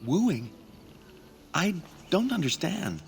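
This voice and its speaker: A man's voice: high-pitched, very animated, slow, creaky, slight background noise, full character voice. A man playing a timid, lovesick young elf hunter apprentice in a video game, hesitant, stammering and easily frustrated. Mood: doubtful. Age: young adult. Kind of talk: dramatic game dialogue.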